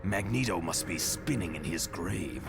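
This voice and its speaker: A man's voice: deep voice, animated, normal speed, vocal fry. A man voicing a weary, snobbish ape mutant villain with a dry, haughty manner in a motion comic. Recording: music under the voice.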